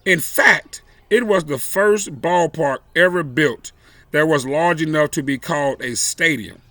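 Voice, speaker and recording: A man reading a children's story aloud expressively, with deliberate, emphatic phrasing and dramatic pauses. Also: on the high side, sharp tone, dry recording. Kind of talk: expressive read-aloud storytelling with brief asides.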